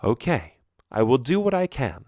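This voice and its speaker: A man voicing a gentle, reassuring queen and mother in a storybook, in a kindly manner. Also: mid-range voice, big pitch swings, normal speed, dry recording, clean studio sound, lightly acted.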